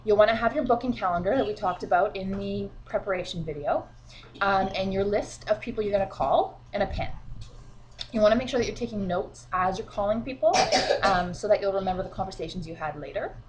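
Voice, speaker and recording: Woman, team leader, mild cold and sniffles, relaxed encouraging chat, mid-pitched. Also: fairly quick, slight background noise, poor audio. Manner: chatty and encouraging, frequent fillers. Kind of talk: casual instructional vlog. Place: at home, children beside her.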